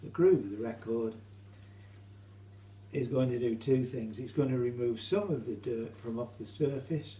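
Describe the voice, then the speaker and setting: A British man giving an informal, halting how-to demonstration, explaining each step as he goes. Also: mid-range voice, mellow. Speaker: hobbyist demonstrator. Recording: roomy echo, faint hum.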